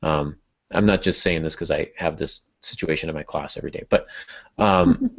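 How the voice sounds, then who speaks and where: A man's voice: rumbling low, moderate pace, creaky. A man hosting a podcast, explaining things casually like a teacher talking to writers. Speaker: podcast host and writing teacher. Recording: dull, muffled lo-fi audio.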